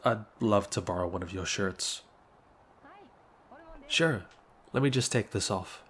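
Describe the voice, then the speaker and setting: Man acting a shy, low-voiced young neighbor guy. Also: close to an everyday voice. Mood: flustered, shy. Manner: hesitant, halting, apologetic. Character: shy, apologetic, awkward young male neighbor. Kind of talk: ASMR roleplay acting.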